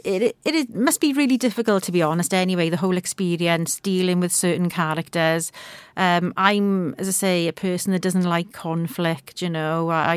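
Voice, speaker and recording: A woman's voice: on the low side, clear, resonant tone, dry recording. A woman interviewing a guest in a chatty, gushing way, full of exclamations and tag questions.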